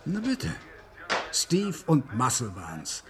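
A man's voice: medium pitch, huge pitch swings, slow pace. An actor playing a sardonic, cynical male crook in a German radio crime drama.

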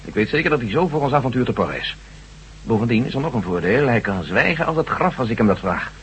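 An actor playing a loyal, hearty military general in a radio drama, reasoning like a cautious friend. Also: male voice, mid-range voice, sing-song, fast, natural voice, low-quality recording. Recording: faintly hissy old radio drama recording.

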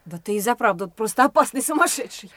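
High, scornful young woman for a radio drama; dry, close sound. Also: animated, fast talker, no room sound. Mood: indignant. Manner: sharp, scornful, indignant. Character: indignant young woman fending off a pest. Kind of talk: studio radio play acting.

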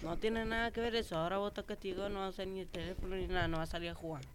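A woman's voice: medium pitch, fairly even intonation, very fast, lightly acted. A woman playing a casual, bossy older sibling in improvised radio theatre, speaking colloquially and hesitantly. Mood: scolding. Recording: noisy amateur recording with clicks.

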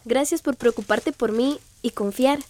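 A woman's voice: fairly high pitch, a little slow, darkish tone, close to an everyday voice. An actress playing a young, sympathetic and anxious female friend in a radio drama. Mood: tender. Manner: concerned, questioning.

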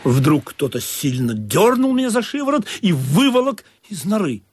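A man narrates a radio play with theatrical expression. His voice is high and animated, set over clear music. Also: normal speed. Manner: expressive, dramatic. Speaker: narrator and first-person character.

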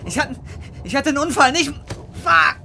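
A man's voice: very high voice, animated, moderate pace, gravelly, exaggerated voice. Desperate young man, noisy film sound. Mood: desperate, pleading. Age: 20s. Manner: coarse slang, swearing. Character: desperate, broke young man, brooding and guilt-ridden. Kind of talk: naturalistic film drama acting.

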